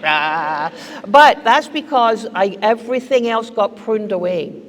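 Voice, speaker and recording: An older Scottish woman speaks slowly and deliberately at a podium microphone, joking and emphatic with her points. Her voice rings out with a long reverb, as in a large hall. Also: mid-range voice. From Scotland. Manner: lively, joking, emphatic, gesturing. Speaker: keynote speaker. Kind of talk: humorous conference keynote. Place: large hall with seated audience. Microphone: podium mic on stand.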